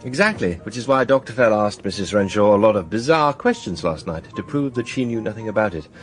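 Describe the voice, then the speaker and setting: An actor playing a confident, brash and charming English gentleman sleuth in a radio drama, speaking in an articulate, assertive way. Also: on the low side, expressive, fairly quick, faint music behind, lightly acted.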